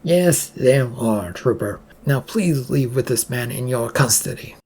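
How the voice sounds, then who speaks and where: Narrator as a male small-town sheriff, stern, scolding, firm, husky, audiobook character. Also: medium pitch, lively intonation, measured pace, darkish tone. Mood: firm, demanding.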